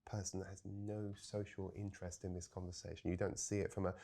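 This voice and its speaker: A man's voice: sits low, level tone, fairly quick, gravelly, warm tone. A man co-hosting a podcast. He joins in enthusiastically and agrees with his guests. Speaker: podcast co-host. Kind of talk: casual conversation.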